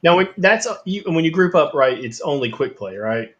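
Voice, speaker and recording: A man hosting a casual chat, easygoing, with lots of fillers and quick agreement. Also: a little high, animated, dull audio. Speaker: host.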